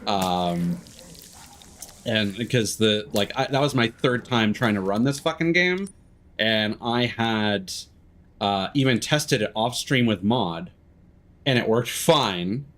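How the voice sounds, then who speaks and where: A man's voice: on the low side, lively intonation, slow, fairly bright tone. A man chatting casually as a game streamer, candid and sweary, thinking aloud as he goes. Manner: sweary, candid, self-deprecating. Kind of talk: casual live-stream chat and gameplay commentary.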